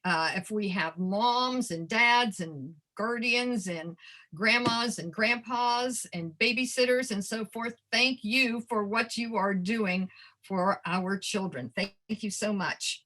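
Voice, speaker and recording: A woman's voice: medium pitch, takes it slowly, sharp tone. An older woman, sixties or above, an educator giving a webinar presentation, addressing her listeners directly and stressing key phrases. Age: 60s or older. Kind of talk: webinar presentation to childcare providers.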